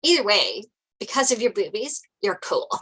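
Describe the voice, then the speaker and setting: Woman acting a brash, bright-voiced waitress best friend. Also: mid-range voice, very animated, moderate pace, husky. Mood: breezy reassuring. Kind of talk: table read acting.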